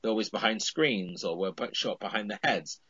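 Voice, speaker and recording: A man's voice: on the low side, normal speed, pushed and bright, husky, dry recording, dull audio. A man on a podcast, casually retelling a television episode with frequent "I mean" fillers.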